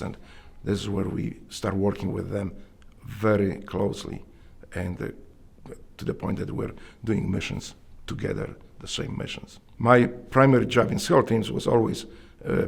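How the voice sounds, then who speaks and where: A man with a Polish accent and a strongly gravelly, husky voice that sits fairly low. He answers interview questions earnestly, pausing and restarting as he searches for the words. He sounds middle-aged. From Poland. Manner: earnest, halting with restarts and fillers. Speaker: interviewee, Navy SEAL veteran. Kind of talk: interview storytelling.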